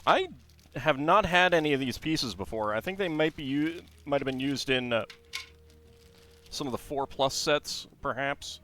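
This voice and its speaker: Streamer guy, mid-range voice, easygoing build-stream chatter over soft background music. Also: medium pitch, normal speed. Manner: chatty, reads facts aloud, then talks to chat. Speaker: streamer building a Lego set. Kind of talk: casual livestream chat while building, reading aloud.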